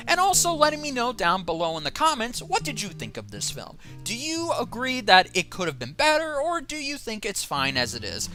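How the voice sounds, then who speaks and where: A woman reviews a film in a casual, sarcastic rant, her pitch swinging widely as she gets worked up. Soft music plays faintly underneath. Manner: exasperated, sarcastic ranting. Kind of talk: casual online review commentary.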